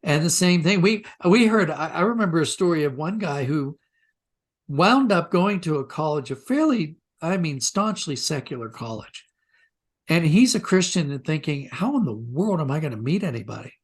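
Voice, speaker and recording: A man with a moderately high-pitched voice speaks as an interviewed writer. He is thoughtful and discursive, telling stories conversationally and often restarting a sentence to reword it. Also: lively intonation, normal speed, slightly rough. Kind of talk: conversational interview, reflective storytelling.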